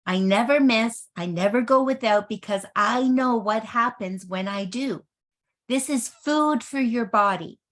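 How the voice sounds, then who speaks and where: A woman in her early sixties giving a motivational health product presentation, speaking emphatically and deliberately and repeating phrases for effect. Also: on the high side, fairly bright tone. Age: early 60s.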